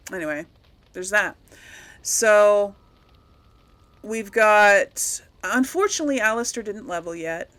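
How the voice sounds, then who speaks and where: A woman's voice: on the low side, fairly bright tone. A woman hosting a game playthrough, talking casually to her audience and thinking aloud in a rambling way. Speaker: host of a game playthrough series.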